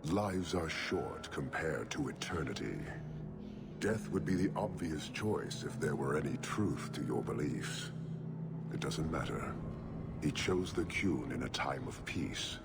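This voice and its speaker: Very deep, slow, gravelly warrior giant. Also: male voice, lively intonation, over loud music, full character voice. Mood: stern, dismissive. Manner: deliberate, grave, declaring in clipped formal statements. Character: towering, stern, disdainful horned warrior commander, not human. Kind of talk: video game cutscene acting.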